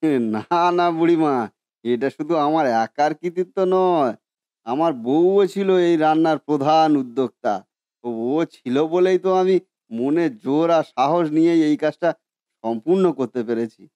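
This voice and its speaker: A man acts out a village biryani cook for a cartoon story. He is eager, folksy and deferential, with a mellow, somewhat high male voice. The recording is dry. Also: moderate pace, no room sound, close to an everyday voice. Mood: humble, proud. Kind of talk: Bengali cartoon story voice acting.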